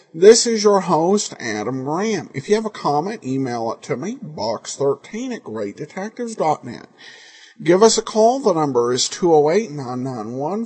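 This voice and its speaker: Animated American man with a husky voice. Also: on the high side. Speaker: podcast host. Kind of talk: informal podcast introduction.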